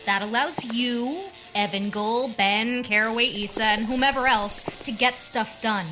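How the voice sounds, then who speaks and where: An actress playing a wry, determined masked heroine and quick-witted strategist in a pulp radio drama. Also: female voice, on the high side, pushed and bright, slight background noise, low-quality recording. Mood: wry, assured.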